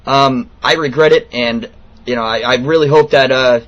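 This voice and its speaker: A man in his late twenties making an earnest, persistent complaint over the phone in a halting, informal way on a lo-fi recording. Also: medium pitch, fairly even intonation, fairly bright tone. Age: late 20s. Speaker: radio show host calling in a complaint. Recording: dull, lo-fi phone call recording.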